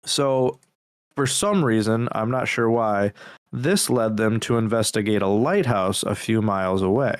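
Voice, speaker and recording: A man co-hosting a podcast, recapping horror videos in a halting, casual way with some swearing. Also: fairly low pitch, smooth, no room sound, clean studio sound. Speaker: podcast co-host.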